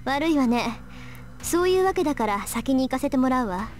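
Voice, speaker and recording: A woman playing a proud, sharp-tongued teenage girl mage in a Japanese visual novel, blunt and self-assured. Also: high voice, animated, racing. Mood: cool, challenging. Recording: game dialogue over background music.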